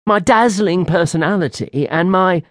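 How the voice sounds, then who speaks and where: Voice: mid-range voice, normal speed, a bit bright, dry recording, clean studio sound, pitched above the performer's own voice, close to an everyday voice. A storyteller voicing a vain, witty male fairy who mocks everyone in a theatrical way. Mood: mocking. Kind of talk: audiobook character voice.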